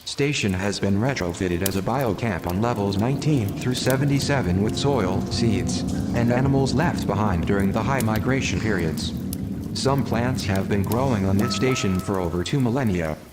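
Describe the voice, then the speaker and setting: Guy doing a calm literal ship computer, lowish male voice, formal and blunt, sci-fi audio drama, big reverb, music under it. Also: lightly acted. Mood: matter-of-fact. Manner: measured, formal, matter-of-fact delivery. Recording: long reverb, music behind the voice.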